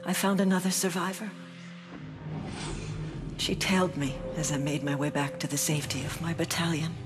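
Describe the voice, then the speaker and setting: A woman's voice: low voice, steady tone, normal speed, close to an everyday voice. Casting: woman to play middle-aged female agency chief, controlled and menacing, video game villain, music underneath. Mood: grave narrating. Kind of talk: video game cutscene acting.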